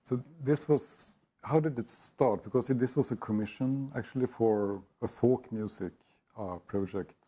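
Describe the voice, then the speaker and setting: A man moderating an interview as curator, asking brief, measured questions. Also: mid-range voice, mellow, husky. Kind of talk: moderating a live-streamed interview.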